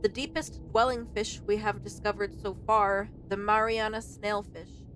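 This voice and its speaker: A woman's voice: mid-range voice, level tone, moderate pace, fairly bright tone, a little hiss or hum, rough recording. A young woman doing casual let's play commentary, reacting informally and reading on-screen text aloud. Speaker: streamer playing and reacting to a game.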